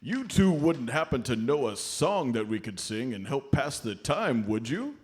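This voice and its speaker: A man playing a jolly, avuncular Santa Claus, gently scolding and fond, in a live comedy radio play. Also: mid-range voice, big pitch swings, a little slow. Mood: cheerful. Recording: live stage comedy show recording.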